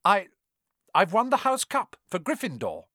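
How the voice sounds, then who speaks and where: A man's voice: high-pitched, wildly swooping pitch, measured pace, fairly bright tone, no echo, clean studio sound, pitched above the performer's own voice, heavily acted. A man voicing a frightened but defiant young boy, tense and desperate, in an audiobook. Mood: nervous bluffing. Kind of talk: dramatic audiobook character voice.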